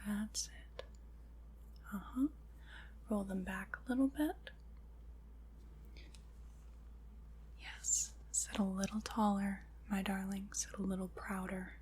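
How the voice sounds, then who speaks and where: A woman performs as a solemn, gentle witch in a whispered ASMR roleplay. Her breathy whisper moves slowly and deliberately, soothing as it guides a ritual. A faint noise sits under the voice. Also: medium pitch, fairly even intonation, natural voice. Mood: soothing, commanding.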